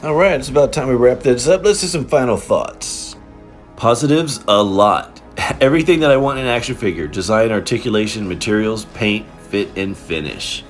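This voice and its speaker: A man's voice: mid-range voice, animated, moderate pace, faint music behind. A man hosting a casual toy review in a playful, informal, slangy way, commenting as he handles figures.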